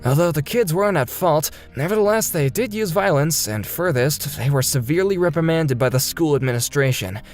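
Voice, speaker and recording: Casting: male actor as earnest young cartoon lead narrating his tale, slightly high voice, soft music bed. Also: expressive, brisk, few pauses, close to an everyday voice. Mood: explanatory. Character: earnest young man, wronged but forgiving storyteller. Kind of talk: scripted manga dub narration.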